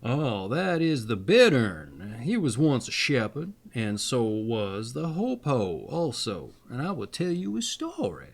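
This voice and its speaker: A man acts out an old rustic cowherd from a storybook. He speaks in a theatrical, deliberate way, his pitch lifting and dipping freely as he shares his tale. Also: huge pitch swings, gravelly, heavily acted. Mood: wistful.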